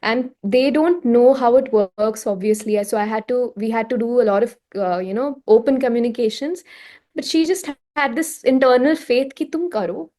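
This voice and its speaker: A woman from India with an Indian accent, answering casually in an interview, chatty and expressive, slipping into Hindi phrases. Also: on the high side. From Raipur, India. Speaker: singer-songwriter, interview guest.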